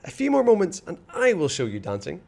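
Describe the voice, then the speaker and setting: A man voicing an eager young boy who is excited to dance. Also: high voice, brisk, gravelly, voice pushed up, heavily acted. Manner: eager, exclaiming.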